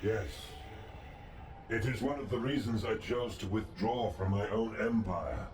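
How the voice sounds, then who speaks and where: An actor voicing an ancient, regal male god in a video game, speaking in a calm, formal, measured and solemn manner. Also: on the low side, a crowd in the background, close to an everyday voice. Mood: solemn, confiding. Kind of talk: scripted video game dialogue. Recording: cavernous echo, distant, music and ambience behind.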